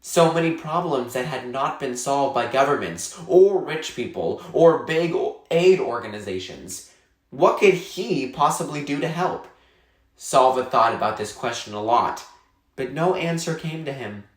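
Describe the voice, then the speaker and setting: A male narrator reads a children's novel with measured, clearly phrased delivery. His mid-pitched voice moves up and down in a lively way, and the room adds a noticeable echo. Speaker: read-aloud narrator. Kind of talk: expressive children's novel read aloud.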